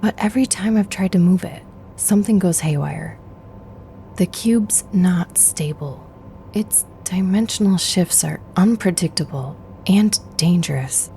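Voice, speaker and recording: Woman acting wary, mellow-voiced female shipmate. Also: on the low side, measured pace, creaky, a little hiss or hum. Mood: wary, explaining. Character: wary, secretive, capable woman aboard a haunted ship. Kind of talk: sci-fi horror audio drama acting.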